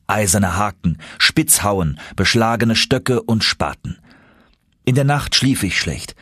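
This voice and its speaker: A male audiobook narrator with a low, husky voice. He tells a first-person story dramatically, with very animated intonation, recorded dry and close. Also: dry recording.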